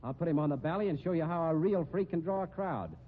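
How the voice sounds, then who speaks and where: An actor playing a tough, exasperated carnival boss with an American accent, gruff, slangy and bullying, in 1950s radio drama style. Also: male voice, fairly high pitch, animated, quick pace. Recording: tinny, hissy old radio recording.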